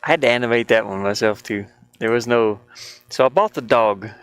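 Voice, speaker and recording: A man's voice: mid-range voice, brisk, fairly bright tone, smooth. A man casually thinking aloud in an amused, rambling way while commentating on his own game-building screen share. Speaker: indie game developer.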